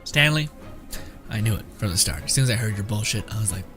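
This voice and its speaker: A man's voice: lowish voice, expressive, fast talker, music in the background. A man giving casual, rambling gameplay commentary as a streamer, swearing freely and mocking as he goes. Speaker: video game streamer.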